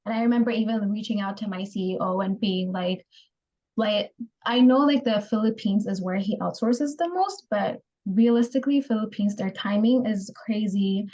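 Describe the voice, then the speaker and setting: A woman's voice: mid-range voice, moderate pace, dark tone, lo-fi sound. A woman from Ethiopia as an interview guest, frank and chatty, full of "like" fillers and easy laughter. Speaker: interview guest, remote project coordinator. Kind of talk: casual interview chat.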